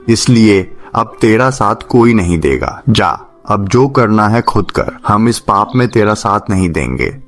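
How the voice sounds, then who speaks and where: A man playing a wrathful, accusing holy man in a cartoon tale, scolding and denouncing grandly. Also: fairly low pitch. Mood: condemning. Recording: music under the voice.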